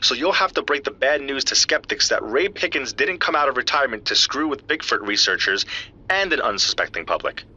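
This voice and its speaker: A man's voice: mid-range voice, lively intonation, fairly quick, sharp tone, slightly rough, highs cut off, heavily acted. A man playing an earnest, geeky scientist in an audio drama, explaining evidence to a friend in precise technical detail. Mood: assured, wry. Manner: precise, technical, lecturing yet familiar. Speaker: scientist analysing footprint casts.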